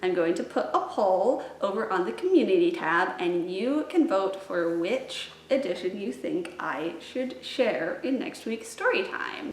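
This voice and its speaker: A woman's voice: medium pitch, a little hiss or hum. Echoey, upbeat woman chatting to viewers. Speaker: children's library storytime host. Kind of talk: chatty vlog, talking to viewers. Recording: roomy, echoey indoor sound.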